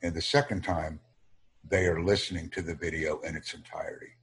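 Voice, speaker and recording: Husky-voiced, very low male tutor. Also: steady tone. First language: English. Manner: slow, formal, measured delivery in short phrases. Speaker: English tutor. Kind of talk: online English lesson.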